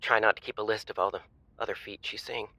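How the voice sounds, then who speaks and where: A sheepish, jittery groom-to-be, played by a man on a comedy call-in. He stammers and confides in a creaky, sharp-edged voice, recorded close and dry. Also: lowish voice, steady tone, quick pace, no echo, heavily acted.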